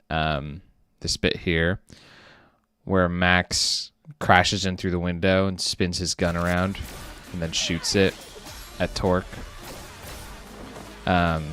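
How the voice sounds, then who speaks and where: Man, very low voice, hesitant rambling, casual stream chat, faint music behind. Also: fairly bright tone, clean tone, no echo. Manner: rambling, hesitant, many fillers and restarts. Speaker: indie animator streaming his work. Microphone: webcam setup at a desk.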